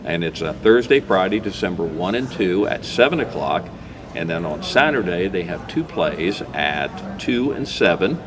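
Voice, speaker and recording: Male American host, low-pitched, chatty and encouraging, casual interview over clear background chatter. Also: sing-song, normal speed. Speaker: talk show host.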